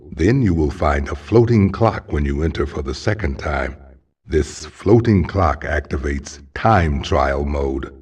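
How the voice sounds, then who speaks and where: A man's voice: extremely low pitch, darkish tone, husky, full character voice. A man voicing a wise, ancient guardian spirit in a video game, speaking in a solemn, grave, fatherly manner. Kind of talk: video game cutscene voice acting.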